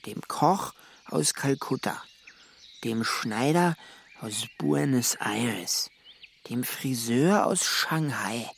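A man's voice: medium pitch, gravelly, slight background noise. An actor playing a gloomy, fretful man who longs for the sea, plaintive and repetitive, in a children's radio play. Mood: despondent.

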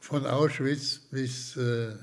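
An older man from Slovakia, giving slow, hesitant testimony in an interview with many pauses and restarts. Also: mid-range voice, steady tone. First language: German as childhood language, long unused.

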